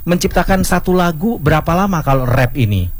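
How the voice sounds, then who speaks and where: Man, co-host, higher pitch, brisk, reads viewer questions. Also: lively intonation. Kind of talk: casual talk show hosting.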